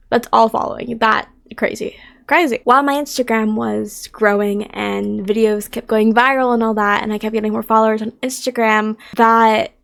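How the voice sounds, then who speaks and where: A woman's voice: a little high, creaky. A woman in her twenties, chatting casually to camera in a rambling, self-deprecating way with lots of fillers. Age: 20s. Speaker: streamer and content creator.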